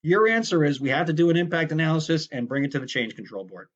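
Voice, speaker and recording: A man's voice: a little high, expressive, quick pace, lo-fi sound. A man teaching a recorded lecture in a conversational, explanatory way, often stopping to correct himself mid-sentence. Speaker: instructor. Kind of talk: recorded lecture on project management.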